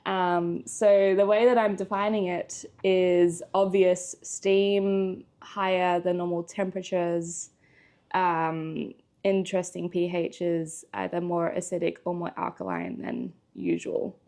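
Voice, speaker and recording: A woman's voice: on the low side, slow, warm tone. A woman from Australia, chatting casually as a guest, with frequent ums and laughs. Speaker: guest, PhD student. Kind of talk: casual interview chat.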